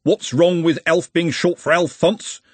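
A man's voice: on the high side, fairly even intonation, measured pace, husky, dry recording, pitched above the performer's own voice. A man voicing a big, touchy, suspicious guard in a storybook, blunt and colloquial. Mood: suspicious, indignant.

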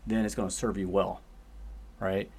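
A man's voice: sits low, little pitch movement, moderate pace, a little mellow, husky. A man who teaches martial arts, chatting on a podcast and coaching parents with questions. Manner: coaching, asks questions to make a point. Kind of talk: casual podcast chat.

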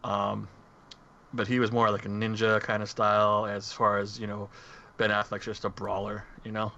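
Bright-voiced man chatting on a lo-fi recording. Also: on the low side, completely flat delivery, moderate pace, creaky. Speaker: podcast panelist. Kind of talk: casual movie discussion. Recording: dull lo-fi mic, faint noise.

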